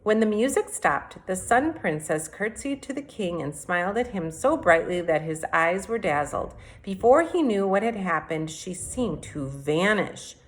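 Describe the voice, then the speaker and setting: A woman reading a fairy tale aloud expressively, as a teacher would to children. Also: lowish voice. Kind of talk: expressive storybook read-aloud.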